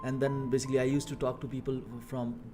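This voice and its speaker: A man in his thirties from India with an Indian accent, talking casually on a coding live stream, halting with many fillers and repeated words. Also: mid-range voice, little pitch movement, music in the background. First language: Hindi. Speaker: live-streaming software engineer.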